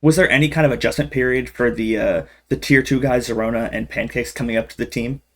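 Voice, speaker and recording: A man's voice: medium pitch, fairly even intonation, quick pace, fairly bright tone. A man hosting an esports interview, asking questions in a casual, upbeat way.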